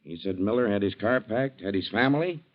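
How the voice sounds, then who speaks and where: Man playing a low-voiced, steady sheriff. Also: brisk, lightly acted. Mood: firm. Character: steady, folksy small-town sheriff, calm and firm. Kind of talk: 1940s radio acting. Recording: dull, lo-fi 1940s radio recording.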